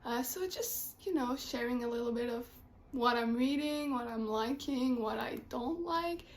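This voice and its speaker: A woman's voice: a little high, a little slow. A woman in her twenties from Quebec, French her first language, speaking English with a Québécois French accent, chatting casually to camera as a book vlogger at home, with many fillers and restarts. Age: 20s. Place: home room.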